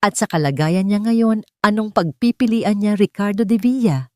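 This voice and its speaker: A woman's voice: medium pitch, lively intonation, normal speed, dry recording, studio quality, voice pushed up, lightly acted. A woman voicing a worried, scheming middle-aged uncle in a storybook, insistent and serious. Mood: insistent, curt. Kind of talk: audio storybook character dialogue.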